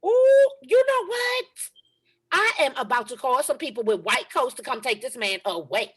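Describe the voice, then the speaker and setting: A sassy nineteen-year-old granddaughter, read by a woman. Her voice is high and lively, swooping as she scolds in exasperation. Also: normal speed, breathy edge, full character voice. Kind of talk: table read.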